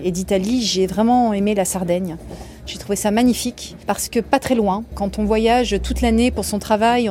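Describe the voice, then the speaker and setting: A woman from France, a model, talking in a relaxed personal monologue on a podcast, unhurried and fond, pausing on soft hesitations as she lists small pleasures. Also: mid-range voice.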